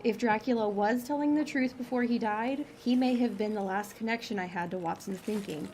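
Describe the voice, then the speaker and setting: A woman's voice: fairly high pitch, brisk, darkish tone, a little hiss or hum, lightly acted. An actress playing a dry, exasperated woman director in a comic audio drama, with a wry, officious manner. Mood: uneasy, reflective.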